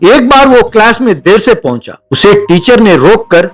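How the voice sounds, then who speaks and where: Male narrator: comic story reading, high pitch for a man, faint music bed. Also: very animated, moderate pace. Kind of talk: scripted comedy story narration.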